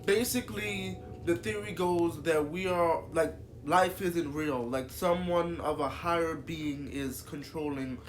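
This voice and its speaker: A young man with a stuffy, congested voice, talking casually to his channel viewers in a rambling way with lots of fillers and restarts. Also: a little high. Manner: rambling, repeats himself, many fillers. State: congested from a cold. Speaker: YouTuber. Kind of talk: casual vlog monologue.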